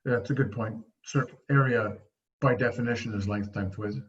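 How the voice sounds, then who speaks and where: A man's voice: on the low side, normal speed. A polite, agreeable man taking part in an informal committee discussion over a conference call. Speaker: committee member. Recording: muffled conference call audio.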